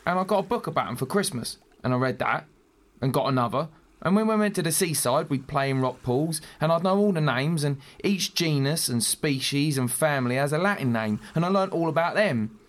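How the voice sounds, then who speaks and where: An actor as a nerdy, nervous smuggler, swooping pitch. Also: lightly acted. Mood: wistful, earnest. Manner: earnest, rambling, colloquial. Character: nerdy, nervous, naive smuggler, keen on birds and shells. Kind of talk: audio drama acting.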